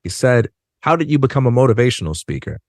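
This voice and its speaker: A man in his forties, a podcast guest talking in an earnest, measured way and slowing down to stress points. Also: medium pitch, big pitch swings, a little mellow, no room sound, clean studio sound. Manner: earnest, measured, slows down for emphasis. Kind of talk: reflective conversation. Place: dark studio. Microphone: studio mic on a stand.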